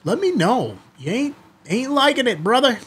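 A middle-aged American man with a slightly husky voice, talking informally and directly to viewers as a video host. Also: extremely high pitch, big pitch swings, moderate pace, fairly bright tone. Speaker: amateur radio video host. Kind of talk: casual chatty commentary to viewers. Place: small indoor studio office, seated at desk.

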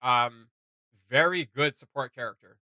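Bright-voiced man, chatting casually. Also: medium pitch, a little slow, dry recording, studio quality. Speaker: host of a reaction channel. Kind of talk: casual reaction commentary. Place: small home gaming room, indoors.

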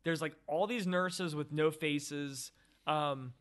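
A man's voice: on the high side, steady tone, slow, a bit bright. A man co-hosting a film podcast, recapping the plot in a wry, skeptical way. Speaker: podcast co-host. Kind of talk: casual film discussion.

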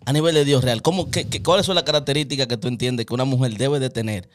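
Animated Dominican man hosting a podcast. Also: mid-range voice, quick pace, a little hiss or hum. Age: 30s. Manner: animated, gestures widely, preachy. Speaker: host. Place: small indoor home studio. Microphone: studio mic on stand, wearing headphones.

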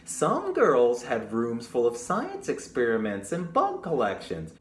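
A young man reading a picture book aloud to children, pausing to explain things simply and ask questions. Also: mid-range voice, huge pitch swings. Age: young adult. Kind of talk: storytime read-aloud with explanatory asides. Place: small room at home, indoors. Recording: roomy echo with clear background noise.

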